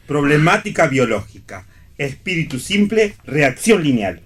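A man's voice: fairly high pitch, big pitch swings, measured pace, a bit bright, breathy edge, heavily acted. Miguel, a wry, swooping Argentine man. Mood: dry, pompous. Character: Miguel, wry, cocky, mocking man, an old flame. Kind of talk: read radio theatre.

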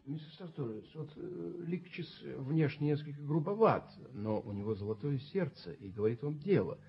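An actor playing a prim, easily offended snob in a radio play, pompous and moralizing in an affected way. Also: male voice, fairly high pitch, expressive, moderate pace. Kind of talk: Soviet radio play acting. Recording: muffled 1970s radio drama recording.

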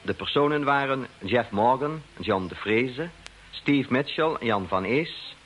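A man making formal, measured scripted announcements as the announcer of a radio drama. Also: mid-range voice, lively intonation, a bit bright, dull audio. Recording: old radio broadcast with faint noise.

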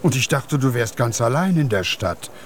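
A man playing a kindly, eccentric paper picker with a gentle, quirky manner in a German children's radio play. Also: mid-range voice, sing-song, normal speed, lightly acted. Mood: concerned, resolute.

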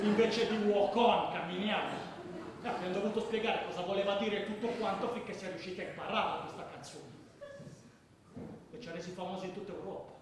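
An actor in a radio drama playing a fervent football ultra who tells stories in a colloquial, emphatic way. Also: male voice, high voice, close to an everyday voice. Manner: colloquial, emphatic, bursting into shouts and chants. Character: fervent male football ultra recounting matches and brawls. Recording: echoey room with faint noise.

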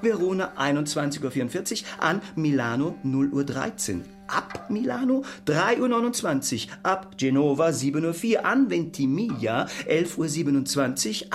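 Animated, pedantic railway clerk. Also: male voice, a little high. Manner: rattling off facts, matter-of-fact. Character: brisk, pedantic railway clerk with encyclopedic memory. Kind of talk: German radio drama acting.

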